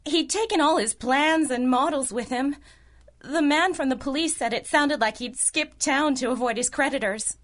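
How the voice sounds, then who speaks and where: Casting: actress as high-pitched, worried ingénue client, gushing, flustered, melodramatic 1930s radio heroine in need of rescue. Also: female voice, moderate pace, lightly acted. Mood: anxious, earnest. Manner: breathlessly earnest, flustered, polite. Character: helpless, fretful, gushing damsel in distress. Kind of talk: melodramatic 1930s-style radio drama acting.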